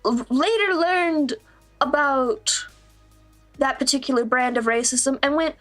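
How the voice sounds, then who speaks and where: A woman's voice: high voice, fairly bright tone, faint music behind. A young woman streamer from the American Midwest with a mostly neutral American accent and traces of Southern-Midwestern. She chats casually and rambles a bit, teasing herself and laughing often. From Midwestern United States. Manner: rambling, chatty, self-deprecating, quick to laugh. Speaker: streamer and digital artist. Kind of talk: casual livestream chat.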